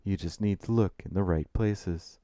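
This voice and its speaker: Storybook mysterious older gentleman, played by a man: low, refined, deliberate, quietly insinuating. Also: dry recording, close to an everyday voice. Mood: sly, insinuating. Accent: refined New England.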